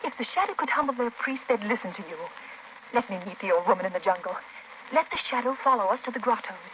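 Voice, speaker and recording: A woman's voice: on the high side, lively intonation, fast, close to an everyday voice. An actress playing a brave but anxious woman adventurer, earnest and melodramatic in 1930s radio acting style. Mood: urgent, pleading. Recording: muffled, noisy 1930s radio broadcast.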